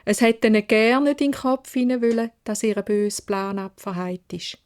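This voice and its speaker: A woman with a Swiss German accent narrating a children's fairy tale in a measured, expressive way. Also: on the high side. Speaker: storyteller.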